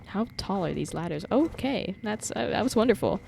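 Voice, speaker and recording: A woman's voice: mid-range voice, animated, moderate pace, creaky, mellow, a little hiss or hum. A woman livestreaming a game with casual, playfully sarcastic, sweary commentary, reacting and chatting to viewers. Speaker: live streamer. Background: game music.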